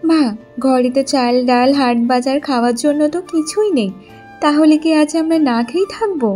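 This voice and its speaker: Woman as cartoon daughter, young, high-pitched, caring, earnest, coaxing, faint background music. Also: normal speed, mellow, lightly acted. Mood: worried. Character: dutiful, caring young adult village daughter. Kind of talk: Bengali cartoon story dialogue.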